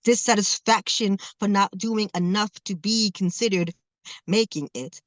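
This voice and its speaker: A woman around forty delivers spoken word in a rhythmic, emphatic cadence, leaning into each line. Her voice sits at an ordinary middle pitch. The recording is dry and close, with little room sound. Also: steady tone, measured pace, no room sound. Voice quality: says she stutters, not heard. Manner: rhythmic, emphatic poetry delivery with laughs between phrases. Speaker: guest, spoken word poet. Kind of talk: performed spoken word poem, then casual interview talk.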